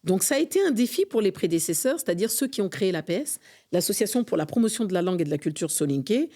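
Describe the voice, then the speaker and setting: A woman's voice: mid-range voice, big pitch swings, fast, warm tone. A middle-aged woman from France, a guest in a conversational interview, speaking earnestly and fervently with frequent hesitations. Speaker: podcast guest, association vice-president.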